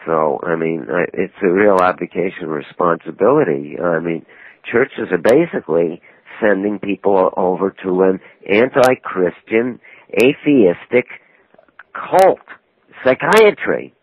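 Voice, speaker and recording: A man's voice: low voice, animated, unhurried, breathy edge, dry recording. A man interviewing a caller on a talk show. He cuts in often and presses with pointed questions. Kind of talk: call-in radio talk. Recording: dry, narrow phone-like sound.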